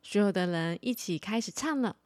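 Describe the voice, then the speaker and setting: A woman telling a story for children as a podcast host, in an animated storytelling style. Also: mid-range voice, moderate pace, no echo, studio quality.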